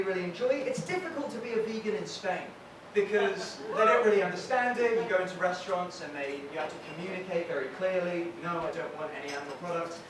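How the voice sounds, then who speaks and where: A man from England with a softened Brighton accent, doing a wry stand-up set in a comedy venue. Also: high-pitched. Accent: Brighton English, softened. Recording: echoey, distant, noisy room.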